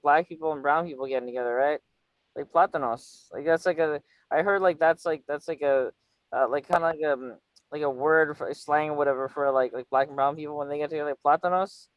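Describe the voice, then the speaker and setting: A man from California hosts a loose video-call chat, rambling through heavy filler words and crude swearing as he teases his guest. His voice sits a little high for a man. The sound is dry and close, with no room around it. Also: fairly quick, no room sound, lo-fi sound. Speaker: channel host.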